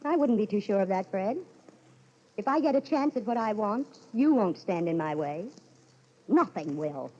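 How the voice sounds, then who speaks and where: A woman's voice: medium pitch, expressive, normal speed, noisy background, natural voice. A woman playing a scornful, ambitious, discontented wife in a mid-century radio melodrama, haughty and emphatic. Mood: cold, indignant. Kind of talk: 1940s-50s radio drama acting. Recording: muffled vintage radio recording with music.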